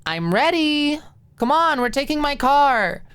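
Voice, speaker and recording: Audiobook role: confident, amused young woman, voiced by a female narrator, lifted pitch, breezy and playfully teasing. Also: sing-song, a little slow, fairly bright tone, no echo, exaggerated voice. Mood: teasing, calling out.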